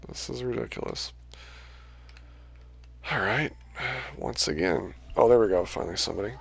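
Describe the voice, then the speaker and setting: A man's voice: mid-range voice, expressive, normal speed, creaky, breathy edge, no echo. A man giving casual, rambling gameplay commentary on a livestream, his voice starting to tire. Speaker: game livestreamer.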